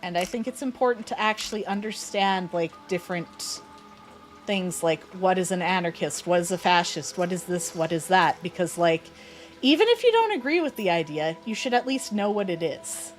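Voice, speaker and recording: A woman's voice: on the low side, lively intonation, normal speed, soft background music. A young woman, chatting bluntly and opinionatedly while playing a game. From Canada. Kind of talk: casual gaming chat.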